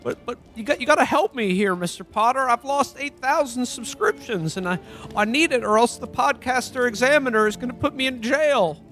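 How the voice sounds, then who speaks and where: A man playing a part with a very high, stammering voice, as a desperate everyman in a parody radio play. He pleads in a folksy, flustered way. Music plays behind him. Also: brisk, fairly bright tone. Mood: pleading, flustered. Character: desperate, pleading podcaster in old holiday-film style. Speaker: podcast host.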